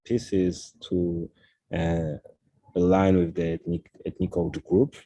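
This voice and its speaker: A man, a fashion designer speaking as a guest in a casual interview, pausing often and searching for words. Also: deep voice, flat delivery, mellow, no room sound. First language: French. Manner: halting, searching for words, many "uh"s.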